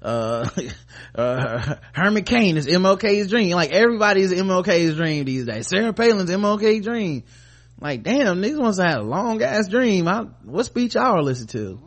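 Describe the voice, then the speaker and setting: A man's voice: high voice, big pitch swings, normal speed. An American man hosting a podcast, giving casual, comedic news commentary in an irreverent, sarcastic, sweary way. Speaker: podcast host.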